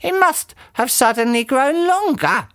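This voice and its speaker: A reader voicing a frightened, gullible old woman in a storybook, with a shrill, panicky squeal. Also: expressive, unhurried, fairly bright tone, dry recording, clean studio sound, full character voice. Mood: alarmed. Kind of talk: storybook character voice.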